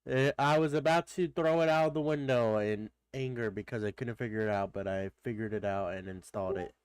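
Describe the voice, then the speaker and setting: A man's voice: fairly low pitch, a little fast, smooth, dry recording. Stuffed-up man chatting with his stream chat. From Texas. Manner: rambling, greets chatters by name. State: stuffed-up nose. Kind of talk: casual live-stream chat.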